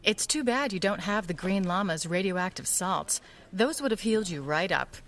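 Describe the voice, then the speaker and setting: A poised investigator in an audio drama. Her mid-pitched voice moves expressively, polite with a wry edge. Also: animated, moderate pace, fairly bright tone.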